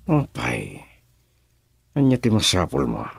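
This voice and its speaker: An actor playing a domineering crime boss in a radio drama, with an Ilocano accent. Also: male voice, fairly low pitch, wildly swooping pitch, takes it slowly, gravelly, slightly rough, dry recording, clean studio sound, heavily acted. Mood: irritated. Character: domineering male crime boss giving orders to henchmen.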